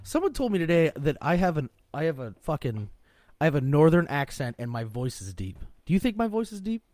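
A man's voice: a little high, very animated, brisk, a little mellow, dry recording. A man co-hosting a podcast in a laid-back, rambling way, swearing freely as he chats. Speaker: podcast co-host. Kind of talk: casual profane chat.

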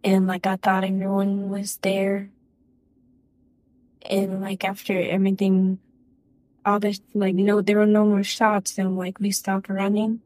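A woman testifying in court, speaking slowly and hesitantly, with a flat, subdued delivery and many pauses and restarts. The sound is dry and close. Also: medium pitch, dry recording. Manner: halting and hesitant, lots of "like" and restarts. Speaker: witness.

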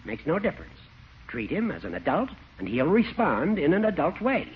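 Crusty older judge, wry and lecturing, highish animated voice, muffled vintage radio comedy. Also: male voice, normal speed, husky, exaggerated voice. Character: crusty, dry-witted older male judge, needling friend. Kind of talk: classic American radio comedy acting. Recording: muffled, hissy old radio broadcast.

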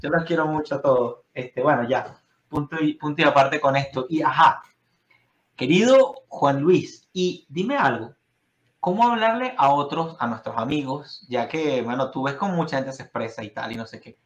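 A man's voice: a little high, moderate pace, reverberant, muffled recording. A Venezuelan man hosting a podcast, chatting casually with a guest and rambling through restarts and fillers. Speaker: podcast host. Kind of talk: casual interview chat. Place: Florida.

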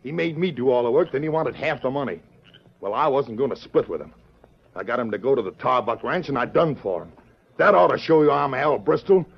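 An actor playing a shifty, cornered cattle rustler with a gravelly, husky voice and hesitant folksy talk, in old-time radio western style. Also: male voice, mid-range voice, expressive, brisk. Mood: grim confessing. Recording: muffled vintage radio drama recording.

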